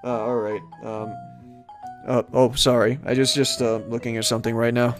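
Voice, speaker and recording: A man giving casual video game commentary, thinking aloud in a rambling, halting way as he plays and reads from the screen. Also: mid-range voice, little pitch movement, faint music behind. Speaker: let's-play host.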